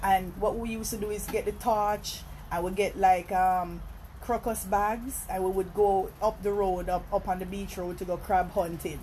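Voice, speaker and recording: A woman from Jamaica with a Jamaican accent, chatting casually on a phone live stream at a seafood restaurant and breaking off her stories to give viewers shout-outs. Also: mid-range voice, steady tone, normal speed, slight background noise, rough recording. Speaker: vlogger.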